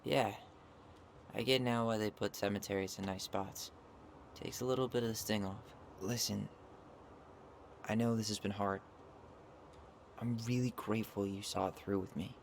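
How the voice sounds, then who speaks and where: An actor playing a supportive, earnest young adult brother with an American accent in a naturalistic video game cutscene. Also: male voice, fairly low pitch, fairly even intonation, moderate pace, long pauses, a little hiss or hum, natural voice. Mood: reflective, grateful.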